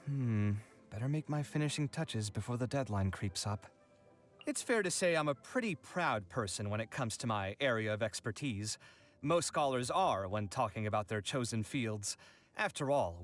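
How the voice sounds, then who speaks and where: A man's voice: mid-range voice, lightly acted. A man voicing a proud, refined young architect from a video game, articulate, earnest and self-assured. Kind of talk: video game character voice lines.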